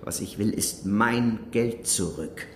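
A man's voice: lowish voice, slow pace, slight background noise. An actor playing a menacing, contemptuous man with a commanding, scornful manner in a radio crime drama. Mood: cold, threatening. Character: menacing, contemptuous man demanding his money.

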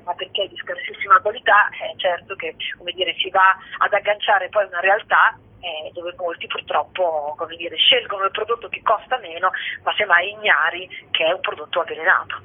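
A woman's voice: mid-range voice, breathy edge. A woman speaking conversationally as a stage performer being interviewed on a radio show. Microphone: phone call to a radio show. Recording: narrow, tinny phone line.

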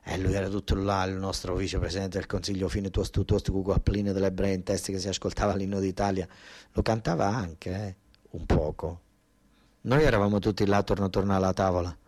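A man's voice: sits low, flat delivery, a little mellow, no room sound. Southern Italian man, theatrical storyteller. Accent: southern Italian, Basilicata. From southern Italy. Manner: colloquial, dialect-tinged telling with repeated phrases and dramatic pauses. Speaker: stage storyteller and monologue actor. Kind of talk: theatrical first-person narrative monologue.

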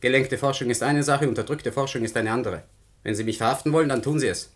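Male actor as stubborn young researcher for radio drama; slightly rough, mid-pitched voice, challenging, principled. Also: fast talker, close to an everyday voice. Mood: indignant, resolute. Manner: challenging, earnest, formal. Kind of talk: German radio play acting.

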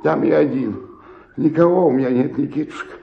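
An actor playing a lonely, drunken comedian of sixty-eight in a theatrical radio play, agitated and lamenting. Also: male voice, fairly high pitch, a little fast, creaky, highs cut off, slight background noise. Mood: anguished, self-pitying. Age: older. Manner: agitated, lamenting, muttering to himself. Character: drunken, lonely, frightened 68-year-old comic stage actor. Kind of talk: theatrical radio drama acting.

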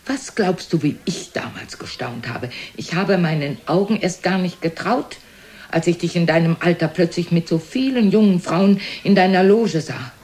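A woman's voice: lowish voice, expressive, normal speed, darkish tone, lightly acted. Actress as a scolding, wounded elderly mother. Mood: reproachful. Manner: scolding, accusatory, dramatic. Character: reproachful, proud, wounded elderly mother of Casanova. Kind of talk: German radio play acting.

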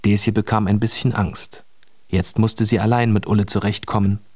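A man's voice: fairly low pitch, flat delivery, moderate pace, a little mellow, no echo, lightly acted. A man narrating a children's radio play as a plain storyteller, reading a scripted tale.

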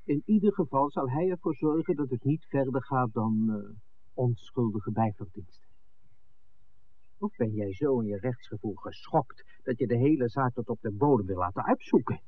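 An actor playing an impatient, pragmatic film maker in a 1960s radio drama, blunt and insistent. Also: male voice, mid-range voice, moderate pace, close to an everyday voice. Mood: exasperated, persuasive. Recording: tinny, noisy 1960s radio recording.

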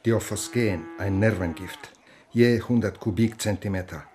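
Low-voiced, dry Estonian police inspector, played by a man. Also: measured pace, a little mellow, lightly acted. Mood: matter-of-fact. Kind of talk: German radio drama acting.

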